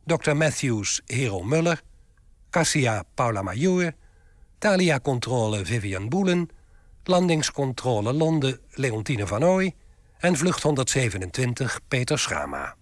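A man's voice: medium pitch, big pitch swings, measured pace, no echo, studio quality. A man reading scripted radio credits as an announcer, in a formal, list-like manner.

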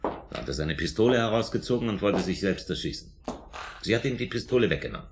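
A man's voice: low-pitched, animated, fast, background noise. A man acting in a German radio drama as a defensive, indignant suspect under police questioning, emphatic and self-justifying. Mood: defensive, explaining.

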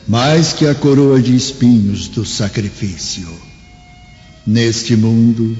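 Old apostle's spirit, radio drama; low-lying, unhurried, solemn and consoling, muffled with long reverb and soft music. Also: male voice. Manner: solemn, preaching.

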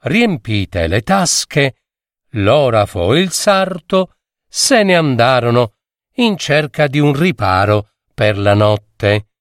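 A man narrating a fairy tale in a theatrical, deliberate way, with dramatic pauses between phrases. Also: mid-range voice, very animated, no echo, clean studio sound. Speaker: storyteller, adapter and reader of fairy tales.

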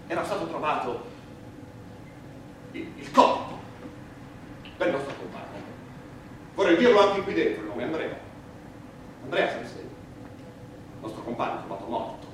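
Man acting as earnest immigrant worker on trial, Italian accent, halting plain speech, stage drama, very echoey, noisy recording. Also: mid-range voice, distant mic, close to an everyday voice. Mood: agitated, afraid. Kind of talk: theatrical monologue, courtroom testimony.

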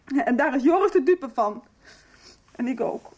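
A woman's voice: sits high, expressive, normal speed, a little mellow, muffled recording. A young woman acting an upset, accusing teenage daughter in a radio soap. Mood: sullen, bitter. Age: young adult.

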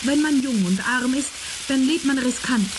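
A woman's voice: sits high, moderate pace, noisy background, natural voice. A young woman playing a cool, flippant student in a radio play, talking with nonchalance. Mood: nonchalant. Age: young adult. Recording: loud sizzling frying pan behind. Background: food frying.

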